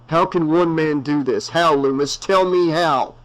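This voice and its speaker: A man's voice: fairly high pitch, a little slow, natural voice, low-quality recording. A man voicing a weary small-town sheriff who speaks firmly. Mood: stern. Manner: firm, commanding.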